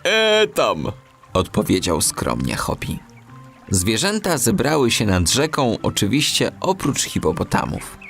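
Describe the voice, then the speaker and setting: A man narrating a children's audiobook in an expressive storytelling style. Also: on the low side, measured pace, creaky, soft background music.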